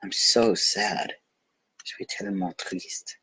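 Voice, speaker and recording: A shy storybook fox, voiced by a man, speaks softly and mournfully. The voice is husky and slow, with a hesitant, wary feel. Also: low voice, steady tone, dry recording, exaggerated voice, poor audio. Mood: sad.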